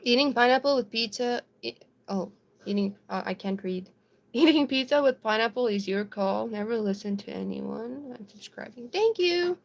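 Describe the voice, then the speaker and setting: A woman's voice: on the high side, normal speed, vocal fry. Young south african streamer, afrikaans is her first language but she sounds american, giggly and chatty, lots of ums. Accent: American-sounding English. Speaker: livestreamer. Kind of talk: casual chat reading viewer comments.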